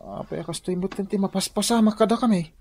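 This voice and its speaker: An actor playing a wheedling, excitable husband in a radio drama, with an Ilocano accent. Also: male voice, sits high, quick pace.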